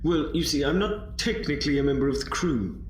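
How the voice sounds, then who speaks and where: Male actor as quirky time-traveling adventurer, lightly high male voice, whimsical, rambling, audio drama. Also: lively intonation, a little fast, slight background noise, close to an everyday voice. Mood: curious. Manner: whimsical, rambling, self-interrupting.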